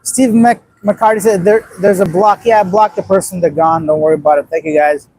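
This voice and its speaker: A man's voice: high-pitched, steady tone, brisk, darkish tone, low-quality recording. A man in his late thirties from Afghanistan, rambling bluntly on a casual livestream and reacting to viewer comments. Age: 30s. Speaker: livestream host. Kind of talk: casual live-stream chat reading viewer comments.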